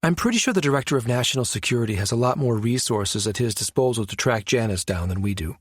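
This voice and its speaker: A man voicing a serious, quietly urgent woman scientist with a measured, firm manner in a science fiction audiobook. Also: mid-range voice, expressive, slightly rough, dry recording, clean studio sound. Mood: resolved.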